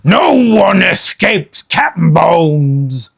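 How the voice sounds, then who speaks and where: A man voicing a greedy, brutish pirate captain who growls and roars slowly in a gravelly voice in a storybook reading. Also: high-pitched, very animated, voice pushed up, heavily acted. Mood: gruff roaring. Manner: slow growling roar.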